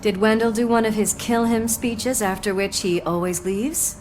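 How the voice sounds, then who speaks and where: A woman's voice: mid-range voice, fairly even intonation, moderate pace, darkish tone, slight background noise, close to an everyday voice. A woman acting a supportive, teasing police partner in a video game, informal and wry. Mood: wry, caring.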